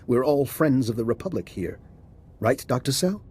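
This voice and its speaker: An actor playing a resourceful, composed doctor and schemer in a video game, speaking in a measured, persuasive way. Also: male voice, medium pitch, expressive, darkish tone, breathy edge. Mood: calm, persuasive. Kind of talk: video game cutscene acting.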